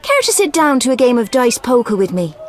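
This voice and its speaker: A woman's voice: a little high, very animated, fairly quick, faint music behind. An actress playing a flirtatious, superstitious lady gambler in a video game, with a florid, coquettish and dramatic delivery. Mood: flirtatious, teasing.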